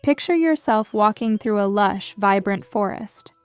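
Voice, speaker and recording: Female meditation guide, smooth gentle delivery, measured scripted read, dry sound with music underneath. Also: mid-range voice, animated, dry recording. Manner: soothing, measured, gentle instruction. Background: background music.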